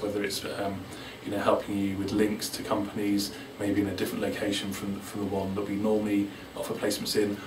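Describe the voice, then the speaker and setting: Man, hesitant adviser, lowish flat voice, distant mic, music behind. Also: echoey room. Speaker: placements office staff member. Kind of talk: spontaneous informal interview answer.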